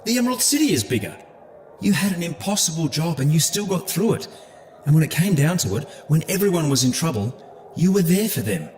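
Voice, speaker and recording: An actor playing a loyal, reassuring emperor and old friend in a fantasy audio drama, earnest and insistent. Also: male voice, a little high, very animated, brisk, a little mellow.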